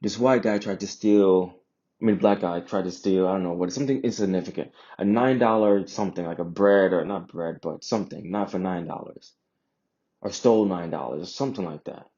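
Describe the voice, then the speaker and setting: Deep, dark-voiced man from Jamaica. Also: a little fast, lo-fi sound. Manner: emphatic, repeats phrases for emphasis, rhetorical questions. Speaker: vlogger sharing personal views. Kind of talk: casual opinion monologue.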